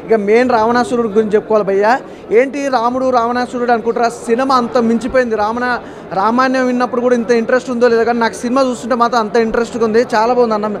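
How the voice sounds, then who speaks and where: A man's voice: very high-pitched, level tone, racing, a crowd in the background. A man with a Telugu accent giving an effusive, enthusiastic film review as a moviegoer. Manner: effusive, gushing.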